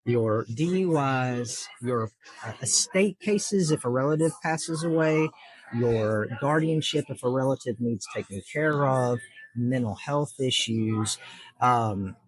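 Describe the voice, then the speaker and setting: A middle-aged man with a husky voice at an ordinary pitch. He speaks earnestly and plainly, explaining patiently with plenty of fillers as an interview guest. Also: takes it slowly, dry recording, rough recording. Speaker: district judge, guest. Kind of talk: casual interview answers.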